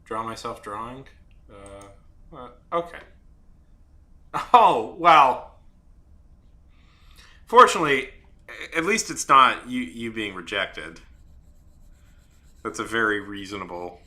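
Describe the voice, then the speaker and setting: A young man chatting casually on a live stream while drawing, rambling and halting with many fillers and restarts. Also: fairly low pitch, lively intonation, sharp tone. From Saudi Arabia.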